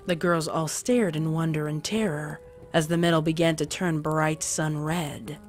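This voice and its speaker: A woman's voice: deep voice, steady tone, measured pace, soft background music. A woman narrator reading a dramatic fan fiction story in a scripted style.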